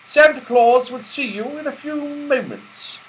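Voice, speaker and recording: A man reading a storybook, voicing a polite, gently fussy teddy bear footman who explains things courteously. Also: extremely high pitch, unhurried, slight background noise, pitched above the performer's own voice, poor audio. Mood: welcoming.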